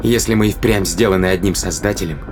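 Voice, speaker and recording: A man's voice: low-pitched, quick pace, over music, lightly acted. An actor playing a determined, risk-taking man in an audio drama, arguing insistently and persuasively with rhetorical questions. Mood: agitated, persuasive. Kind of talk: dramatic audio play acting.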